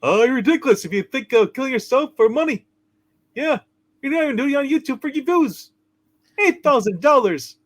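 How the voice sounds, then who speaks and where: A man's voice: very high voice, animated, fairly quick. A man voicing a kindly, fussy, eccentric old guardian angel in a hammed-up radio play reading, with chirpy, theatrical politeness. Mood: bright, insistent. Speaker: channel co-host.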